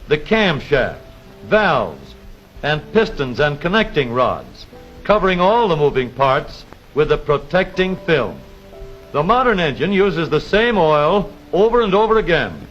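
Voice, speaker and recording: Man, 1930s instructional film narration, higher-pitched voice, lively intonation, formal announcer delivery, music behind. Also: muffled recording. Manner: measured formal announcer delivery, precise explanatory phrasing.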